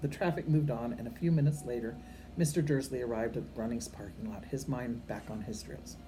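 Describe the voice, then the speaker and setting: Low-voiced older woman reading a story aloud. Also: a little fast. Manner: expressive, occasionally stumbling over words and correcting herself. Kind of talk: read-aloud storytelling with informal asides to children. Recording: amateur recording with faint background noise.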